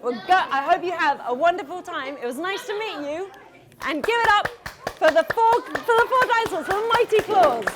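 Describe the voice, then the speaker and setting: A woman children's author reading her story aloud to young listeners in a playful, expressive style. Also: extremely high pitch, brisk, over a noisy crowd, heavy background noise. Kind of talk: animated storytelling to a live audience of children.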